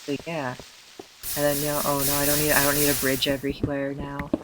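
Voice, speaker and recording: A man with a hoarse, tired voice, self-consciously rambling through casual gameplay commentary with many fillers and restarts. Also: on the high side, monotone, fairly quick, very noisy. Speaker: let's player.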